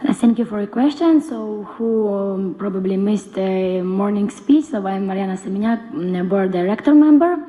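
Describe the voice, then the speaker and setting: A young woman with a Ukrainian accent gives a semi-prepared talk as a conference panelist, halting as she searches for words. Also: medium pitch, warm tone, highs cut off. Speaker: conference panelist, NGO board member. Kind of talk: semi-prepared public speech. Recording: reverberant conference hall.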